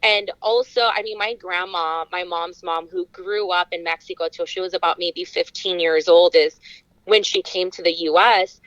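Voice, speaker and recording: A woman's voice: lowish voice, fairly even intonation, normal speed, a bit bright, dry recording, low-quality recording. A woman from California speaking as a podcast guest, telling personal stories in a casual way. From California, United States.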